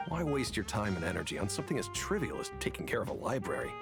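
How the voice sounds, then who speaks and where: An actor playing an earnest academy professor in a video game, measured, formal and coaxing. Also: male voice, lowish voice, big pitch swings, music in the background. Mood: earnest, persuasive. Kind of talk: scripted game dialogue.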